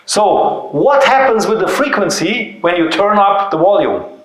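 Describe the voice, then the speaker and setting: A man's voice: high-pitched, animated, measured pace, a bit bright. A man with a German accent, a native German speaker, presenting informally to a seminar audience in a didactic way, with short emphatic phrases and rhetorical questions. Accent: German-speaking European. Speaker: seminar presenter, company founder. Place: indoor seminar room. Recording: very echoey, reverberant room.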